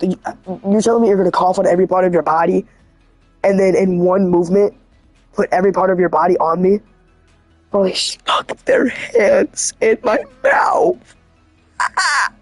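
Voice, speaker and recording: Hoarse guy streaming, high voice, super dry sound, rambling all worked up. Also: dry recording. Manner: rambling, earnest and excitable. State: hoarse, scratchy voice. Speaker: livestreamer. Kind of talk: casual livestream monologue.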